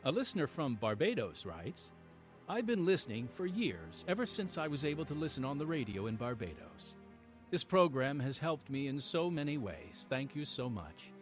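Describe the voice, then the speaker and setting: A man reading a scripted radio announcement as program host, with an earnest, measured delivery. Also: medium pitch, very animated. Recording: music bed behind the voice. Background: music.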